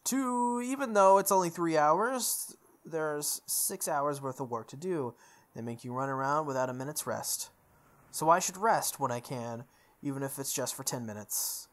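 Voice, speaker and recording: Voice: mid-range voice, expressive, moderate pace. A person reading visual novel text aloud for a playthrough, stumbling over words and repeating phrases, with offhand asides. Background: music.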